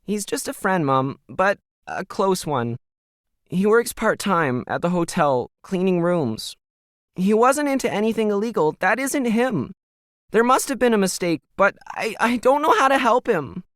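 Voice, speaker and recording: A man's voice: high-pitched, sing-song, unhurried, a bit bright, no room sound, studio quality, voice pushed up, heavily acted. A man voicing an earnest, upset teenage daughter in an audio story, pleading and tearful. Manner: tearful, protesting. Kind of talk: audio fiction character dialogue.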